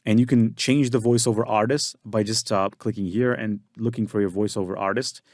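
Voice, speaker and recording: A man giving a casual software tutorial, explaining step by step with frequent fillers and restarts. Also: on the low side, fairly even intonation, brisk, dry recording, clean studio sound. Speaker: tutorial instructor.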